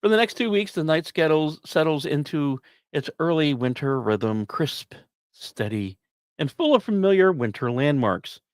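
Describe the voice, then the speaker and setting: A man's voice: a little high, lively intonation, normal speed, clear, resonant tone, dry recording. A man hosting a podcast, narrating scripted science news with wry, self-deprecating asides and theatrical emphasis. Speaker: podcast host.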